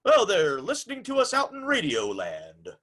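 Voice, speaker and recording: A man's voice: high voice, wildly swooping pitch, normal speed, a bit bright, faint music behind, full character voice. A man playing a formal, polite old-time radio hour host, announcing in a theatrical, scripted showman's style. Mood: polite, reassuring.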